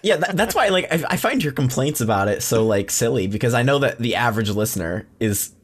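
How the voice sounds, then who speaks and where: A man's voice: mid-range voice, expressive, a little fast. A man chatting casually as a podcast co-host, blunt and swearing freely. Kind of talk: casual chat.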